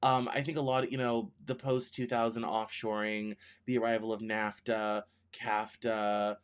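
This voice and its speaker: A man's voice: lowish voice, flat delivery, normal speed, clear, resonant tone. A man in his thirties, from the United States, hosting a news show as casual commentary, rambling and correcting himself as he thinks aloud. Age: 30s.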